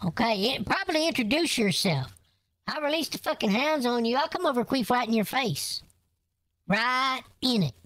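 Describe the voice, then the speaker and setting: Brash, vulgar old granny, quick to rage, high-ish voice, performed by a woman. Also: animated, no echo. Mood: threatening. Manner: loud, brassy, vulgar, drawling insults. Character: crude foul-mouthed brash old grandma, quick to anger. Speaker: prank caller baiting a scammer. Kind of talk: prank call comedy.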